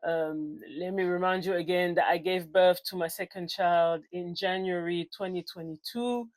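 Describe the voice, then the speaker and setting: A woman in her forties speaks English with a French accent that carries African influence. Her voice sits a little low, and she talks in a loose, unscripted way, full of fillers and quick self-corrections. She sounds like a personal trainer chatting straight to her viewers. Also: steady tone, low-quality recording. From France. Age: 40s. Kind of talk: casual talk to camera.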